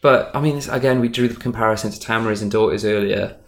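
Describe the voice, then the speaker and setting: A man speaking casually as an interviewed stage magician, emphatic and animated. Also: on the low side, fast talker. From Wales. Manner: animated, emphatic, acts out imagined dialogue.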